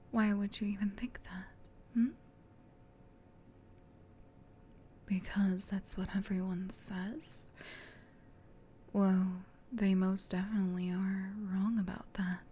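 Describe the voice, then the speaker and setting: Woman as a whispering, gentle comforting female demon. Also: medium pitch, a little hiss or hum, close to an everyday voice. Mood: concerned, reassuring. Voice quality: soft, breathy whisper. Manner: tender, reassuring, unhurried. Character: gentle, comforting female sleep paralysis demon, caring and rambling. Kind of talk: whispered ASMR comfort roleplay.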